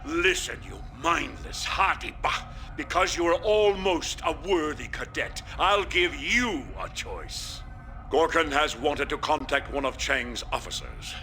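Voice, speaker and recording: A blustering alien warrior colonel in a sci-fi game, with a very sharp, forceful voice. He is theatrical and sardonic, spitting scorn at his juniors over background music. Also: on the high side, animated, measured pace. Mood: scornful bluster. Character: blustering, scornful Klingon colonel commanding a warship. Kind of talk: video game cutscene acting.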